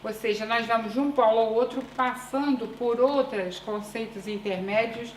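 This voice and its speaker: A woman researcher with a Brazilian accent tinged by European Portuguese, giving a conference presentation in a deliberate, measured way with frequent hesitations. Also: on the high side, fairly even intonation, a little hiss or hum. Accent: Brazilian Portuguese with European Portuguese influence. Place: conference room. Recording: echoey room with faint ambience.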